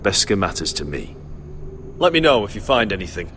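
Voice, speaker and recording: Exiled young swordsman: bold, direct, loyal, low register, animated delivery. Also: brisk, slight background noise. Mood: earnest, firm. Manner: direct, plain-spoken. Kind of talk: video game drama acting.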